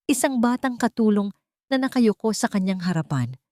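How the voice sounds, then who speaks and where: A woman reading a scripted love drama as a narrator, with emotive, deliberate phrasing. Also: medium pitch, lively intonation, dark tone, dry recording, clean studio sound. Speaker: story narrator.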